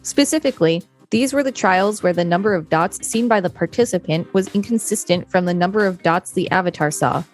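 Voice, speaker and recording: A woman with a slightly low voice co-hosts a podcast. She reads reference material aloud in a measured, explanatory manner. Music plays behind her. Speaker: podcast co-host. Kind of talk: reading an encyclopedia article aloud with brief chat.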